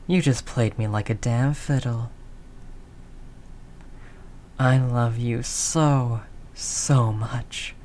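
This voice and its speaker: A woman playing a tough, doting mafia heiress girlfriend in an intimate audio roleplay, low-voiced, talking in affectionate slangy tough talk. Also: extremely slow, pauses often, warm tone, slight background noise, exaggerated voice. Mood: amused, loving.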